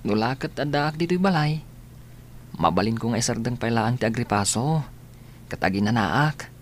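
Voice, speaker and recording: An actor playing a gentle, dutiful young student in an Ilocano radio drama, speaking earnestly. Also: male voice, mid-range voice, animated, normal speed, a little hiss or hum, natural voice. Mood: earnest, grateful.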